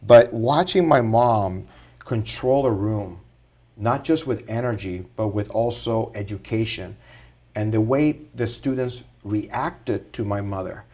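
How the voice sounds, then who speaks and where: A middle-aged man from Puerto Rico, a guest on a podcast, telling his life story in an earnest, animated way. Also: lowish voice, slow. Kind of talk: casual interview storytelling.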